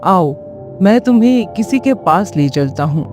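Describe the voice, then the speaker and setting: A gallant young storybook prince, played by a man, speaks in a high male voice. He is assured and protective, with a slow, forceful delivery. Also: animated, music in the background. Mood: resolute.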